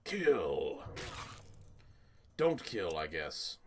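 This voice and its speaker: A man with a fairly low, lively voice hosts a let's play, talking casually and jokingly, often half to himself. His pitch moves around a lot. There is clear background noise with keyboard clatter. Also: very slow. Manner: jokey running commentary, muttering to himself. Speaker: gaming host.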